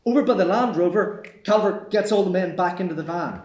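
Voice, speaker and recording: A man's voice: high voice, fairly quick, darkish tone. A man reading stage directions as scripted narration at a screenplay table read. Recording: echoey room.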